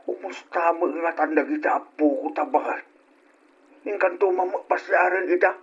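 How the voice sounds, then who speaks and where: A man playing a caring, worried old grandfather in a radio drama, with a husky voice. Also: a little high, normal speed. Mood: mild concern. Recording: dull lo-fi radio drama recording.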